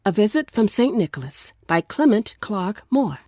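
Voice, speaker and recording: A woman reading a storybook poem in a theatrical, expressive way, sometimes dropping into hushed tones. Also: mid-range voice, moderate pace, no echo, studio quality. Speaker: narrator, voice actress. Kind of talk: dramatic storybook poem narration.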